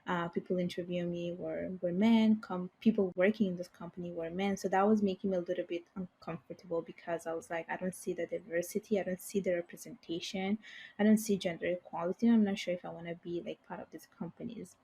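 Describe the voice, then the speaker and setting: A young woman whose first languages are Bengali and Italian talks through her career story in a relaxed interview. Her voice sits a little low and has a husky edge. She rambles warmly with fillers and restarts. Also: fast, poor audio. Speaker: guest, finance professional. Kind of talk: casual interview conversation.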